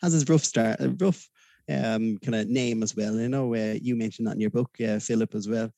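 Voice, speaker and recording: Gravelly Dublin Irish man. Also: on the low side, fairly even intonation, normal speed, mellow, no echo. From Ireland. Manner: rambling, hesitant, many fillers, laughs easily. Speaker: podcast guest. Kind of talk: casual interview chat.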